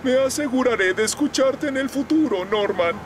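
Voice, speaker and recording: Good-natured older bus driver, Latin American Spanish, animated intonation, humble, children's animation. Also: male voice, very high voice, moderate pace, noisy background. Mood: eager. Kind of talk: children's cartoon dub. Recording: loud music behind.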